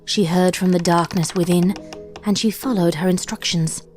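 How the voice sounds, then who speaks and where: A woman reading a horror fan story aloud in steady scripted narration. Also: lowish voice, moderate pace, faint music behind.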